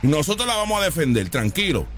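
A Puerto Rican man giving casual, mocking live commentary over a trial, excitable and repetitive. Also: a little high, fast talker, faint music behind. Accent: Puerto Rican Spanish. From Puerto Rico. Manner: excitable, mocking, repeats himself. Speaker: online commentator reacting to a trial stream.

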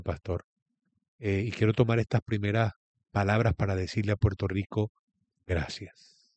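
A man's voice: lowish voice, dark tone, no echo, lo-fi sound. A man with a Venezuelan accent giving a reflective religious talk as a guest, repeating key phrases for emphasis.

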